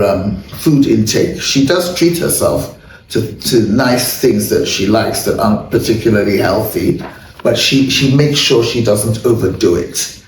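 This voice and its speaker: A self-deprecating man hosts an easygoing health talk, his voice hoarse and catching with throat clearing. He sits well back from the mic, so the room echoes around him. A faint hum of noise lies underneath. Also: mid-range voice. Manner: candid, self-deprecating, chatty. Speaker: host. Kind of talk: casual chat and viewer shout-outs. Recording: roomy echo, far from mic, faint noise.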